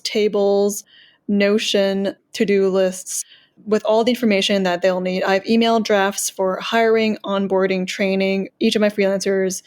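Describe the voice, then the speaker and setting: A woman's voice: medium pitch, darkish tone. Earnest woman, business seller, methodical and proud. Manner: earnest, organized, lists points in order; self-promoting, proud of her work. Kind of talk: podcast interview answers.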